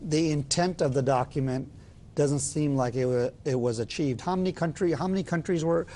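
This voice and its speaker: A man hosting a panel discussion, asking probing questions in a halting way with repeats and rephrasing. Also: on the high side, warm tone, a little hiss or hum.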